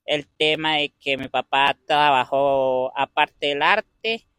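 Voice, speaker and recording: A young man with an Andean Venezuelan accent answers questions in a measured, thoughtful way. His voice sits a little high and sounds bright and forward. The recording is dry, with no room echo. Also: fairly even intonation, clear, resonant tone. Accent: Venezuelan Andean Spanish. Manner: measured, pauses to find words. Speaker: interviewee. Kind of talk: conversational interview answers.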